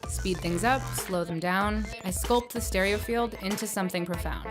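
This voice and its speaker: A woman's voice: medium pitch, moderate pace, lightly acted. A woman playing a brash, self-assured electronic music artist in a motion comic, slangy and cocky in delivery. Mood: intense, boastful. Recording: voice over clear music.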